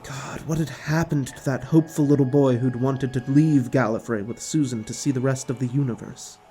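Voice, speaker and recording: Male narrator for an audio drama: mid-pitched, dramatic scripted storytelling, soft music bed. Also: steady tone, normal speed.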